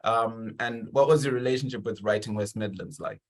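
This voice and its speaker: A man's voice: fairly low pitch, brisk. A man hosting a conversational interview in an enthusiastic, encouraging manner. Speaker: interview host.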